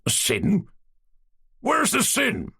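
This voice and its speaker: A man reading aloud voices a loud, teasing old Cossack man who speaks emphatically. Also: fairly high pitch, sing-song, moderate pace, vocal fry, husky, voice pushed up, heavily acted. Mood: boisterous.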